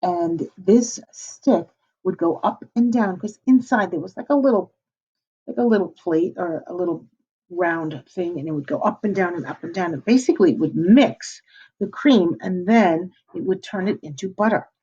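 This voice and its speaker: A woman's voice: fairly low pitch, normal speed, husky. A middle-aged woman teacher reading a storybook aloud to children and stopping to explain words. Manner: warm, explaining word meanings to children. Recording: slightly dull lo-fi recording.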